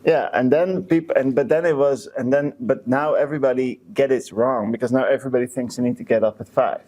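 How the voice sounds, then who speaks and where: A male podcast co-host talks in an informal, chatty style. His voice sits at an average pitch for a man. He speaks haltingly, often starting a sentence over. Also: fairly even intonation, warm tone. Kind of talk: casual chat.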